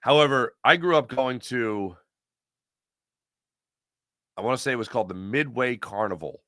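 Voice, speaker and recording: A man's voice: mid-range voice, normal speed, a bit bright, no room sound, studio quality. An American man hosting a sports talk livestream, chatting casually and emphatically, often repeating phrases to make his point. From Pennsylvania, United States. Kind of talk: casual sports commentary and chat with viewers.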